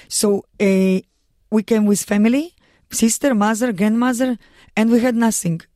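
A woman from Russia with a Russian accent, speaking earnest, halting English as a guest in a radio studio interview. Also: on the high side, warm tone, no echo. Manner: earnest, halting non-native English with restarts. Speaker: guest, mountaineer and lawyer.

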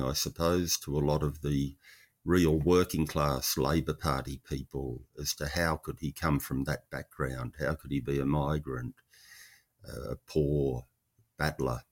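A man, a historical researcher explaining in interview answers, measured and thoughtful with frequent pauses. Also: very deep voice.

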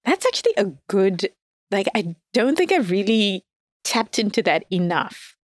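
A woman's voice: medium pitch, sing-song, measured pace, dry recording. A woman guest in casual conversation, candid and self-deprecating, laughing often as she talks. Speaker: podcast guest. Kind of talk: casual dating chat.

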